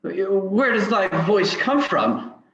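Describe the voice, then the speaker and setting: Stubborn old-fashioned villager, radio play: archaic, halting diction, very slow delivery, echoey sound. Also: male voice, high-pitched, sing-song, a crowd in the background, heavily acted. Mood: defensive, wary. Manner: halting, archaic thee-and-thou speech. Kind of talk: old-time radio drama reading. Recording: dull, echoey lo-fi audio.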